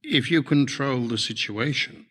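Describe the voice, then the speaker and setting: A male actor playing a sly alien ruler in a sci-fi TV drama, courtly, deliberate and persuasive. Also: medium pitch, a bit bright. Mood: shrewd. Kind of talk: sci-fi television drama acting.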